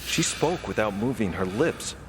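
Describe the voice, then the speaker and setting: A man's voice: fairly low pitch, lively intonation, moderate pace, noisy background, natural voice. An actor playing an earnest, suspicious, God-fearing young man in an audio drama, with formal, theatrical wording. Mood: accusing, suspicious. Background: music.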